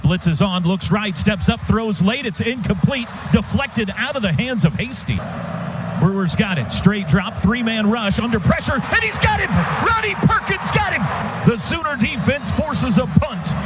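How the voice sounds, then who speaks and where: An American man doing live football play-by-play on the radio in clipped phrases that build to excited shouts. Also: extremely high pitch, moderate pace. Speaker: play-by-play announcer. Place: stadium radio booth. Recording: very noisy outdoor stadium broadcast. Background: loud stadium ambience.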